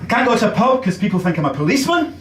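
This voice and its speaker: A man's voice: high-pitched, sing-song, very fast, reverberant, far from the mic, noisy background. An English man performing a chatty, self-deprecating stand-up comedy set in a basement comedy club. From England.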